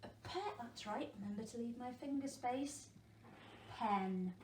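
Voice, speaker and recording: A woman, a primary school teacher, leading an interactive phonics lesson for young children, encouraging them and asking questions with pauses for answers. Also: a little high, darkish tone. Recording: echoey room with faint background noise.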